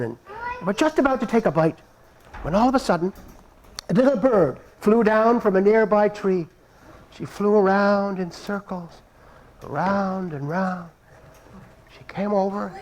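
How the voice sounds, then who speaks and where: A man's voice: high voice, darkish tone, rough recording. A man telling a folktale live on stage, playful and repeating his phrases for effect. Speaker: storyteller. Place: stage before a live audience.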